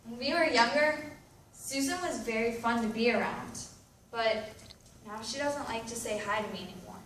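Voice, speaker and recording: A woman's voice: fairly high pitch, normal speed, fairly bright tone, natural voice. A woman acting an anxious, self-loathing teenager in a dramatic readers theatre monologue. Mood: sad, confiding. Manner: dramatic, emphatic delivery. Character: anguished, anxious, self-loathing teenager writing confessional letters. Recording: roomy, distant mic with background noise.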